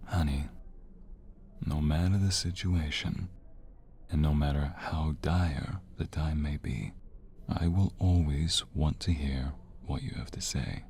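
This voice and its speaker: A man playing a tender, weary young mobster, a devoted and remorseful boyfriend, in a soft, intimate ASMR romance roleplay. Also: slight background noise, exaggerated voice. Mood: gentle, reassuring. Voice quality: very deep, husky, breathy. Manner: soft, slow, intimate, sighing.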